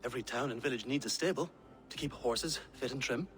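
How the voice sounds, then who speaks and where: An actor playing a plain-spoken, resilient horse trader in a dramatic video game. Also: male voice, medium pitch, brisk, fairly bright tone, soft background music, close to an everyday voice. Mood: earnest, weary.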